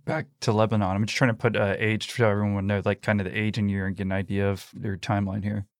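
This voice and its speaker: A man's voice: fairly low pitch, flat delivery, fast, dry recording, studio quality. A man hosting a podcast in a casual interview style, informally checking and restating details for his listeners. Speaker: podcast host.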